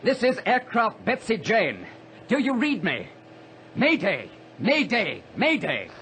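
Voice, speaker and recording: High-voiced pilot in a noisy radio drama. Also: male voice, huge pitch swings, takes it slowly, bright, forceful tone, heavily acted. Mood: urgent, desperate. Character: capable male small-plane pilot fighting to stay calm. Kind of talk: radio adventure drama acting.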